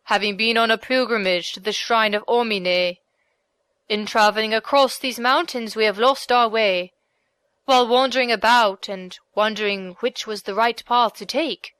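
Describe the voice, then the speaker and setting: A woman's voice: a little high, a bit bright, clean tone, dry recording, close to an everyday voice. A humble wandering priest from an old storybook, played by a woman narrator. He speaks meekly and courteously, always deferring to his listener. The sound is dry and close. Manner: meek, polite, deferential. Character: meek humble male priest, a knight in disguise. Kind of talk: audiobook character voice.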